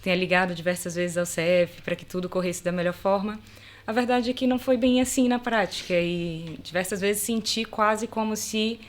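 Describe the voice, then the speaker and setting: Bahian Brazilian woman, middle-aged lawyer, relaxed talkative podcast guest. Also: mid-range voice, normal speed. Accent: Brazilian Portuguese, Bahia. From Salvador, Bahia, Brazil. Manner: warm, chatty, many fillers like "né" and "pronto". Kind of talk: casual podcast interview.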